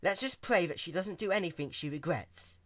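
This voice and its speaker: A performer voicing a regal female pony, a grave and weary sister with measured, formal speech, in a storybook reading. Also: mid-range voice, animated, a bit bright, no room sound, studio quality, voice pushed up. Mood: grave concern.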